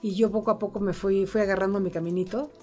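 A woman's voice: mid-range voice, fairly even intonation, fast, darkish tone, faint music behind. A middle-aged woman with a Mexican Spanish accent tells stories casually as an interview guest, in a chatty, humorous, colloquial way. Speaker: interview guest, wine importer and sommelier. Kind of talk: casual conversational storytelling.

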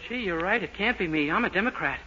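Naive young sitcom fellow, high voice, brisk pace, earnest and dim, cheerful, vintage radio recording. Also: male voice, expressive, pushed and bright. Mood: eager. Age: young adult. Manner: guileless, earnest, literal-minded. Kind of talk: 1940s radio sitcom acting. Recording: noisy, muffled 1940s radio recording.

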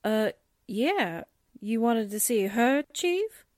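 An actress playing a wry, loyal secretary sidekick in a theatrical 1940s radio-drama style. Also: female voice, fairly high pitch, big pitch swings, slow pace, dry recording, clean studio sound. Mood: startled. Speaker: actor in an audio drama.

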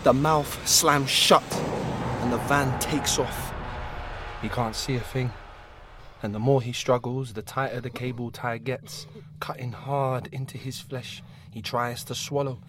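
Male narrator, slow and intense, hushes to whispers; faint ambience. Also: mid-range voice, steady tone, background noise. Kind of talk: scripted audio drama narration.

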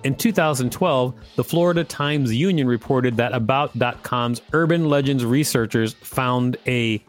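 A man co-hosting a podcast, reading articles aloud and commenting casually. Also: mid-range voice. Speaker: podcast co-host.

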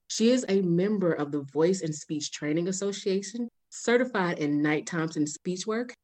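A young woman hosting a casual interview podcast, playful and quick to laugh. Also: fairly low pitch, darkish tone. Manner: laughs often, playful asides. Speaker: podcast host.

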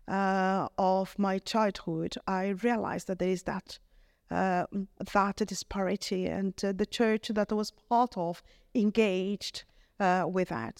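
A middle-aged woman with a Brazilian Portuguese accent, speaking in English as a vicar. She gives an earnest, halting testimony and often restarts her phrases. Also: medium pitch, darkish tone, no echo, studio quality. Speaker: vicar, guest. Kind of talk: reflective testimony in conversation.

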